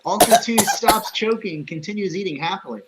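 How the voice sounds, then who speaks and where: A man's voice: high-pitched, expressive, brisk, very noisy. A man reading out the stage directions of a film script as scripted narration.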